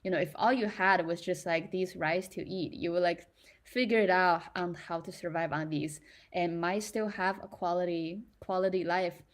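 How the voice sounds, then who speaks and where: A young woman from China speaks in a thoughtful, casual way. She often pauses with fillers and restarts her sentences as she works out her ideas. Her voice sits on the low side. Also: steady tone. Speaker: creator in residency. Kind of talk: reflective casual talk.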